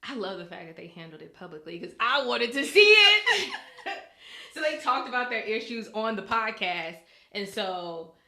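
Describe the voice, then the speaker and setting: A woman's voice: a little high, big pitch swings, moderate pace, pushed and bright, roomy sound. A woman co-hosting a podcast, talking casually and colloquially. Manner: colloquial, informal. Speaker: podcast co-host; news anchor. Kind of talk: casual chat.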